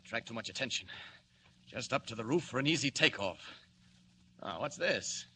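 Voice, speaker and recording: An actor playing a confident, defiant superhero in a 1940s radio serial, self-assured and wry in a declamatory way. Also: male voice, mid-range voice, animated, moderate pace, pushed and bright. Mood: bold, exultant. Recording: very noisy old radio recording.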